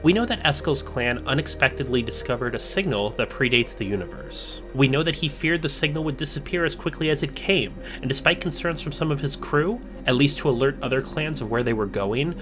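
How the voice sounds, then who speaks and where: Male video essayist, reflective scripted voice-over, deliberate pacing, faint guitar bed. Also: medium pitch, expressive. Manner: measured, reflective, slowing for emphasis. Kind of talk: scripted narration.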